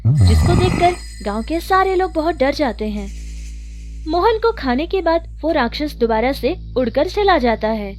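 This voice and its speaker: A woman narrating a children's cartoon story in a steady storytelling manner. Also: sits high.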